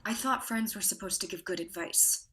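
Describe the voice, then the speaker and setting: A woman's voice: medium pitch, normal speed, sharp tone, close to an everyday voice. An actress playing a worried girlfriend who speaks curtly and insistently in a staged play reading. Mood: tense. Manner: curt, insistent, pointed. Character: worried young woman, sharp-tongued girlfriend.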